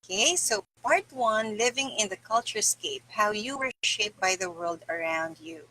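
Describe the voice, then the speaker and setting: A woman's voice: medium pitch, animated, normal speed, bright, forceful tone, poor audio. A middle-aged woman reading aloud for a live stream, with casual asides to her viewers. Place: small indoor room with bare wall.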